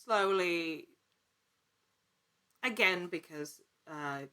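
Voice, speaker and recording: A woman's voice: lowish voice, very slow, bright, forceful tone, smooth. A woman speaking as a guest in a casual interview, in a wordy, rambling way that often interrupts itself. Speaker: podcast guest, actor and producer.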